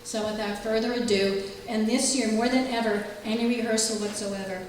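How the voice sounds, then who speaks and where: Female host, slightly high voice, tongue-in-cheek live introduction, distant and very echoey. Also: steady tone, moderate pace. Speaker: host introducing a library radio show. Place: indoor library hall with audience. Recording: very echoey room, distant mic, clear noise.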